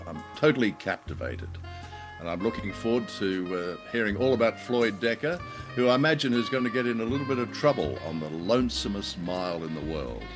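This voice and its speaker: Deep-voiced male co-host over guitar music. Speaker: podcast co-host. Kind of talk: casual show introduction chat.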